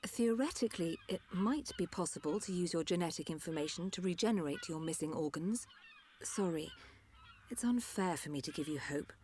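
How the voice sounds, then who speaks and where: Woman doing a technical engineer type, low voice, measured, faint hiss. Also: animated, a little mellow, natural voice. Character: careful woman engineer-doctor, candid.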